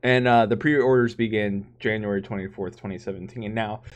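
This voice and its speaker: A man's voice: on the low side, steady tone, normal speed, highs cut off. A man co-hosting a casual gaming podcast, who talks in stop-start bursts, restarts his sentences and laughs often. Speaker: podcast co-host.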